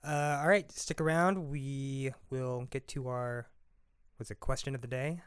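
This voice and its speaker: A man's voice: mid-range voice, fairly even intonation, measured pace, smooth, no room sound, studio quality. A young man co-hosting a casual podcast, joking around and reading out headlines in a mock news voice. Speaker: podcast co-host. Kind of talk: casual chat.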